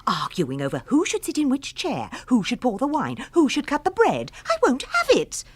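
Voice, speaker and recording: An exasperated, bossy English wife in a radio play. Her high voice swoops dramatically as she scolds with cutting sarcasm and formal, precise wording. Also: huge pitch swings, normal speed, breathy edge, heavily acted. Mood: stern, scolding.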